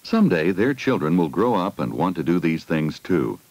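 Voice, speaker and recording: Deep-voiced man narrating with lively swoops. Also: moderate pace, dry recording, soft background music. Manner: addresses children with simple questions. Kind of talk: scripted educational film narration. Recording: 1980 16mm film soundtrack.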